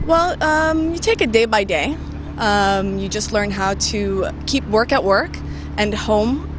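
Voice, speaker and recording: A woman's voice: mid-range voice, animated, takes it slowly, over music. A woman from Canada with a Canadian accent, a TV traffic reporter giving chatty interview answers and mixing in Albanian words. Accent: Canadian English. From Canada, of Albanian parents from North Macedonia. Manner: chatty, switches between English and Albanian.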